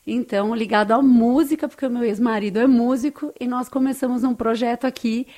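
A woman's voice: on the high side, steady tone, fairly quick, dark tone. A woman from Brazil with a Brazilian Portuguese accent, speaking as an interview guest in a heartfelt, conversational storytelling way.

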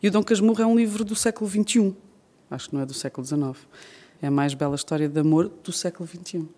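A woman's voice: on the low side, racing, dark tone. A woman, a guest writer talking reflectively and playfully, often laughing, on a stage before an audience. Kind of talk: reflective conversation.

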